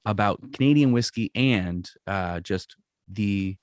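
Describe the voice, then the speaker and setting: Low-voiced host asking rambling questions. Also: male voice, takes it slowly, a little mellow, dry recording, clean studio sound. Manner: rambling, searching for words as he frames questions. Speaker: podcast host. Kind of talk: casual interview questions.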